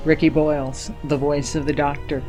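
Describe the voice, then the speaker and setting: A male announcer reads scripted closing material for an audio drama. His voice is a bit high for a man, over faint music. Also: little pitch movement, moderate pace, darkish tone, rough recording. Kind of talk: scripted credits and episode announcement.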